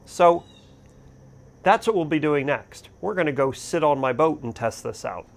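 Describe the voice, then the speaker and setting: A man with a slightly high voice chats casually, vlog style. He talks to the camera as host of a boating video, and there is some outdoor noise around him. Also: lively intonation, normal speed, vocal fry. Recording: noisy outdoor background sound.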